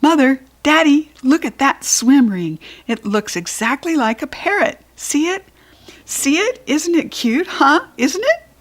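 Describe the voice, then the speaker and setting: A woman voicing an excitable, pleading little girl in a children's storybook, dramatic and stubborn, with emphatic childlike phrasing. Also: high voice, a little slow, voice pushed up. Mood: gushy, excited.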